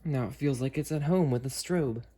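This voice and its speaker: A man's voice: medium pitch, normal speed, very noisy. A man hosting an unboxing video, talking casually and hesitantly as he works through a product demo. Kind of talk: casual unscripted product demo with friends on a call.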